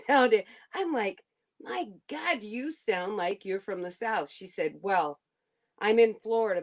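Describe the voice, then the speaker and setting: Excitable gushing woman from Alabama. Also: mid-range voice. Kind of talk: casual chat.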